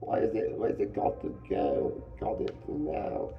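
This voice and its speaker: A man reading aloud who voices a fair-haired schoolboy, casual and confident, in a high boyish voice. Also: big pitch swings, measured pace, gravelly, muffled recording, soft background music, full character voice. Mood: curious.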